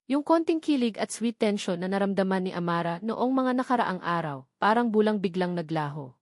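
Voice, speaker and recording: A woman's voice: mid-range voice, lively intonation, a little fast, darkish tone, dry recording. Woman narrating drama, close dry sound. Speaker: storyteller. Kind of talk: dramatic scripted story narration.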